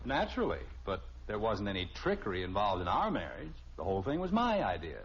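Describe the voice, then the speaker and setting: A man's voice: lowish voice, huge pitch swings, brisk, husky, full character voice. An American actor playing a middle-aged husband and father in an old radio family comedy, teasing and indignant but good-humoured. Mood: mock indignant. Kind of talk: mid-century radio sitcom acting. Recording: muffled, noisy old radio recording.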